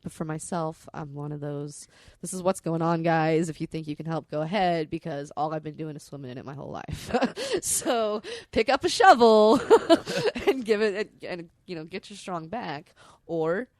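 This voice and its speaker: Low gravelly lady rambling candidly about her life, swears a bit, super dry close sound. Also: very animated, normal speed, few pauses, no room sound. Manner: candid, salty-tongued. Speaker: podcast storyteller. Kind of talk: candid, rambling personal storytelling.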